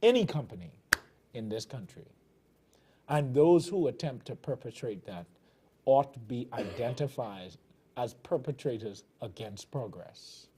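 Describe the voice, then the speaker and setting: A man's voice: medium pitch, animated, unhurried, warm tone, breathy edge. A man from the Bahamas with a Bahamian accent, hosting a TV commentary show and delivering fiery, emphatic political commentary in a preaching cadence. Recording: television broadcast.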